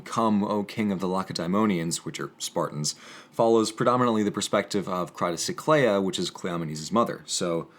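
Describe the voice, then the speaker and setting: A man hosting and reading poetry, moving between casual commentary and measured, theatrical literary delivery. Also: low-pitched. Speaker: host of an educational channel.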